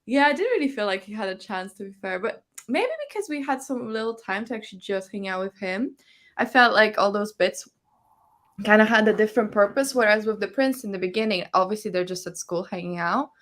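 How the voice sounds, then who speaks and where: A woman's voice: medium pitch, expressive, a little fast, a bit bright. A woman hosting a livestreamed book discussion, chatting casually and often acting out imagined reactions. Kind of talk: casual chat, reading out viewer comments. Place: online livestream call.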